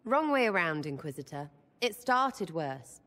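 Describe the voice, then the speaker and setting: A blunt young elf woman in a fantasy game, with a slightly low voice. She is irreverent, slangy and mocking, and her words ring with long reverb. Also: very animated, measured pace, fairly bright tone. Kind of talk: video game dramatic acting.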